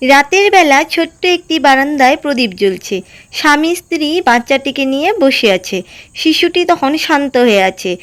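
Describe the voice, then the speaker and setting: A woman narrating a cartoon moral story in a steady, gentle way, with faint music behind. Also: sits high, moderate pace. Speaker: narrator and voice of Seema.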